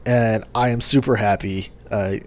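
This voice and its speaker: A young man hosting a gaming channel, talking casually to his subscribers in a monotone with lots of fillers and restarts. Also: fairly low pitch, measured pace. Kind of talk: casual vlog update to subscribers.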